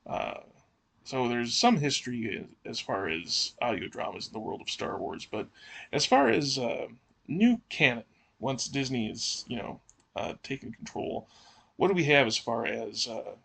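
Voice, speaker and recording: A man co-hosting a podcast, chatting and asking questions in a halting, thinking-aloud way. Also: medium pitch, very animated, creaky, fairly bright tone, husky. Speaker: podcast co-host.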